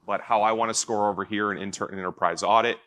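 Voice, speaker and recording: Deep low guy on a panel, punchy forceful tone, earnest, keeps saying right?. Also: fairly even intonation. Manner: earnest, fast bursts, repeats points with "right?". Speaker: panelist from a risk and audit team. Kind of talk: conference panel answer. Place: conference stage.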